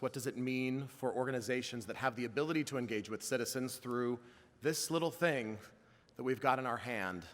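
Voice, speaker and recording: A man's voice: mid-range voice, fairly bright tone. A man addressing a conference room from the stage, building his points in punchy repeated phrases. His voice carries a long hall reverb around a mid-range tone. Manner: rousing, emphatic, rhythmic lists. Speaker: summit host. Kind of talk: keynote speech.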